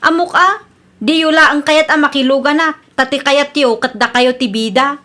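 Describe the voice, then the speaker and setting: A very high-voiced young Filipino woman acted by a woman in an Ilocano comedy radio drama. She is stubborn and argues back defensively, putting the blame on others. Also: steady tone, moderate pace, sharp tone. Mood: argumentative. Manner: argumentative, defensive.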